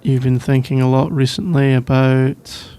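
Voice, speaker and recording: A man's voice: medium pitch, level tone, clear, resonant tone, close to an everyday voice. A man with a British accent playing a sleepy, shy college boyfriend in an intimate ASMR roleplay, hesitant and rambling. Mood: flustered, amused. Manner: hesitant, halting, rambling with soft laughs. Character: sleepy, shy, easygoing young male college student boyfriend.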